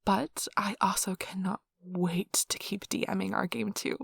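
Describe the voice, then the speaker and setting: A woman playing a nerdy, affectionate girlfriend in an ASMR roleplay, rambling softly and casually in a gushing, breathy voice. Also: medium pitch, fairly even intonation, a little slow, dry recording, clean studio sound, heavily acted. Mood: gushing, excited. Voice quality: soft, breathy, slightly husky. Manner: gushing, casual, giggly, chatty. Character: nerdy affectionate girlfriend, excitedly rambling about tabletop gaming. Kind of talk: soft-spoken ASMR roleplay, intimate rambling. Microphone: close microphone, dry.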